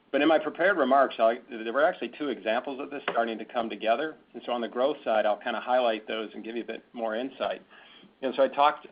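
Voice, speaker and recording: A man, a company executive, answers questions on an earnings call in a semi-formal, explanatory way, often repeating words as he goes. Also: mid-range voice. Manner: halting, repeats words and phrases. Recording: dull, band-limited conference call audio.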